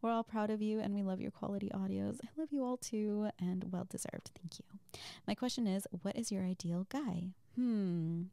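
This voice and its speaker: Soft mellow lady doing a chatty q&a, rambly and giggly, super close dry sound. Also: mid-range voice, vocal fry, no room sound. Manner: giggly, appreciative, rambling and hesitant. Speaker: audio creator and host. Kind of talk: casual chat, reading and answering listener questions.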